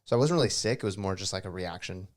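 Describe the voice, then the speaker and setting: A young man co-hosting a podcast, joking and teasing in casual chat, with a slightly raspy throat. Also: deep voice, expressive, racing. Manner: joking, playful, self-deprecating. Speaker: podcast co-host.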